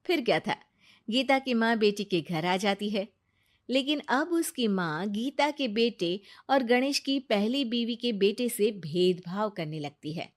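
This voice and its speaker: A woman's voice: medium pitch, lively intonation, unhurried. A woman reading scripted storybook narration for a family tale.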